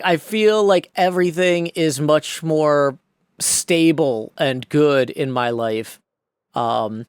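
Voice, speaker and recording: A forty-year-old American man hosts a casual vlog in a mid-pitched voice. He talks in a folksy, reflective way and wanders into asides. The recording is close and dry, with hardly any room sound. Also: smooth, no room sound. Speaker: video creator and host. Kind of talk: casual personal vlog monologue.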